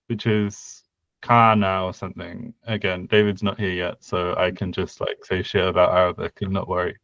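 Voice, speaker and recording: Slow, hesitant man with a smooth voice. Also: fairly low pitch, a bit bright, no echo, muffled recording. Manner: hesitant, lots of fillers and restarts. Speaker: podcast co-host. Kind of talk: casual conlang design discussion.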